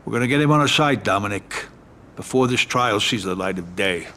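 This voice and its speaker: An actor playing a calm, calculating middle-aged American mafia lawyer and adviser in a video game cutscene. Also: male voice, lowish voice, a little fast, slight background noise. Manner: advising, composed.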